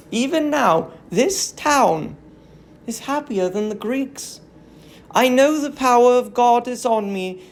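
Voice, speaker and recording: A woman's voice: fairly high pitch, slight background noise. A woman playing a frenzied young prophetess princess in a classical tragedy, declaiming in rapturous, incantatory verse. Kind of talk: classical tragedy dramatic reading.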